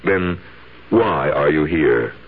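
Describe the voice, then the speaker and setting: A man's voice: rumbling low, animated, slow pace, slightly rough, phone-quality sound, full character voice. An actor playing a defensive, guilt-ridden husband who murdered his wife, stammering and prickly in a radio drama. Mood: prickly, sarcastic. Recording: thin band-limited vintage radio, faint noise.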